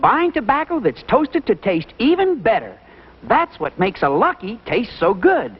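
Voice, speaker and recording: A woman's voice: on the high side, huge pitch swings, a little slow, slightly rough. A woman delivering scripted lines in a 1950s commercial. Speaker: commercial performer. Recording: muffled vintage broadcast, long reverb, music.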